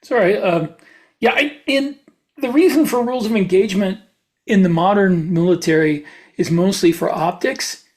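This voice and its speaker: A man speaks as an author and podcast host in a casual discussion. He lays out his explanations haltingly, often backing up to restart a thought. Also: high-pitched.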